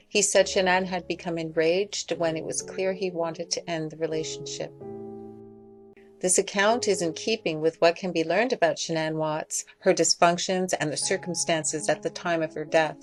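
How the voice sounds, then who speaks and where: Low-voiced woman narrating over music. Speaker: video essay narrator. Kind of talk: scripted critical commentary voice-over.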